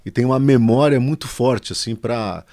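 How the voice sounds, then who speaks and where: A middle-aged man, a company founder telling his story in a relaxed interview, with lots of fillers and drawn-out pauses. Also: medium pitch. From São Paulo, Brazil. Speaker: guest, advertising agency founder. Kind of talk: casual conversational interview.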